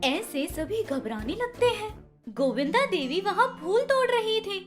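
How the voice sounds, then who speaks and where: A woman's voice: very high-pitched, big pitch swings, measured pace. A woman narrating a scripted children's story as the storyteller. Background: music.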